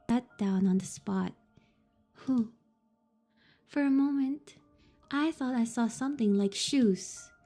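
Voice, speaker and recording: A woman's voice: medium pitch, warm tone. A woman streaming a horror visual novel, reading the on-screen text aloud between giggles and startled swearing. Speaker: streamer playing a horror game.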